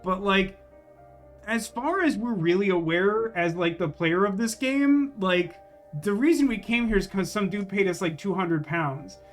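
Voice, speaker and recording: A man's voice: high-pitched, animated, measured pace, faint music behind. A man doing casual live gameplay commentary, rambling and thinking aloud as he questions himself. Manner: rambling, musing, self-questioning. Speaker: game streamer.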